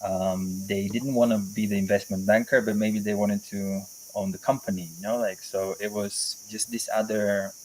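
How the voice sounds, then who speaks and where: A man, a guest on a video call, talking reflectively and pausing often to find his words. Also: fairly low pitch, level tone, darkish tone, slight background noise, poor audio. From Bulgaria. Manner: reflective, thinks aloud with many pauses and "you know, like". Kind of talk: casual interview. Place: home in a mountain forest.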